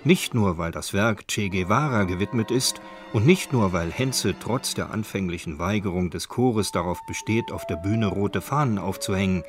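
Male feature narrator, lowish register, factual and measured delivery, faint music bed. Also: animated. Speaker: documentary narrator. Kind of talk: scripted radio feature narration.

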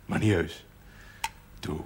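A man's voice: takes it slowly, a little mellow, natural voice. An actor playing a proud, reassuring family man in a television drama. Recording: noisy 1970s television soundtrack.

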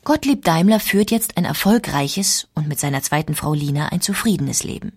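A woman tells a historical story from a script, clear and composed. Her voice sits somewhat low for a woman and is recorded dry, with no room sound. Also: lively intonation, normal speed, a little mellow, dry recording. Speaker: narrator. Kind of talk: scripted documentary narration.

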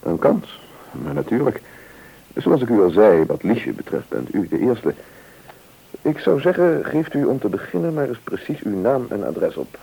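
An actor playing a sober, cautious official in a radio play, explaining formally and in measured words with a Netherlands Dutch accent. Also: male voice, medium pitch, very animated, vocal fry, mellow, breathy edge, exaggerated voice, low-quality recording. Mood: reassuring, businesslike.

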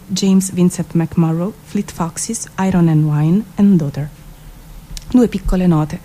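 A woman's voice: lowish voice, dark tone. A woman giving a melancholic, introspective, theatrical spoken-word reading of poetic prose, as a stage performer.

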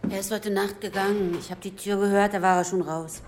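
A woman's voice: mid-range voice, fairly even intonation, brisk, natural voice. An actress playing a pert woman at home who answers back, in a domestic radio drama. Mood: defensive, cheeky. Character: pert female member of the household, answering back. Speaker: actor. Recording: faint room noise, clicks behind.

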